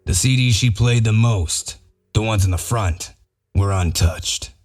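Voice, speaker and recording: Here is a man acting out a gruff, shrewd wolf detective with a low voice. He lays things out methodically and with a sardonic edge, in the manner of a comic dub monologue, over faint music. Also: a little slow, a little mellow, lightly acted.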